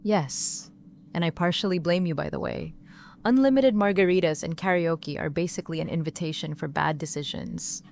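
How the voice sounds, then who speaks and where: A woman's voice: on the low side, normal speed, creaky, a little mellow, slight background noise. A woman playing an emotional, sarcastic, blunt mother-to-be in an audio drama. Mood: exasperated, sarcastic.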